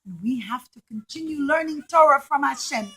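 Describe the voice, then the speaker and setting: A high-pitched puppet hero played by a woman, a bold rebel rallying his people. The delivery is earnest and declamatory, pitched for a children's puppet show. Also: expressive, normal speed, a bit bright. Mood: rallying, indignant.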